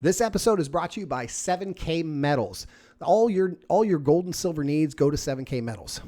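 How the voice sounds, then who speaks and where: A man reading a sponsor ad as the podcast host, with a plain promotional pitch. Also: animated, brisk, dark tone. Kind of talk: ad read.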